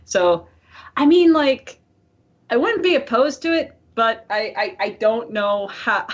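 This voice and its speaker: A woman's voice: a little high, expressive, slow, highs cut off. A woman co-hosting a podcast in casual chat, talking in a chatty, informal way and swearing freely. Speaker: podcast co-host.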